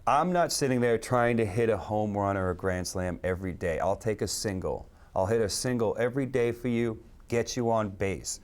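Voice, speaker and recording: Man, lowish voice, web design partner, relaxed business chat. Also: moderate pace, hardly any pauses. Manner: relaxed, businesslike, uses sports metaphors. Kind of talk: casual interview talk.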